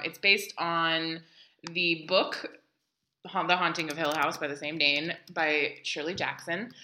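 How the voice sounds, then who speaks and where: Bright American woman podcaster in a roomy space. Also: low-pitched. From New York City, United States. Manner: giggly, animated, blunt and profane. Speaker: podcast co-host. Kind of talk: casual, bantering podcast chat. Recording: roomy, echoey indoor sound.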